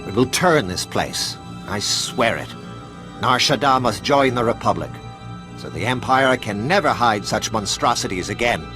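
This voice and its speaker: A man's voice: mid-range voice, animated, unhurried, music in the background, natural voice. An actor playing a male Republic loyalist in a video game, earnest and solemn in his manner. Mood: grateful, determined.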